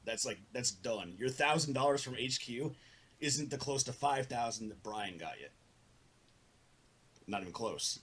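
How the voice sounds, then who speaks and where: A man's voice: medium pitch, animated, unhurried, pauses often, a bit bright, slightly rough. A man speaking bluntly, with plenty of swearing, in an argumentative casual group conversation. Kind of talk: heated group voice call argument.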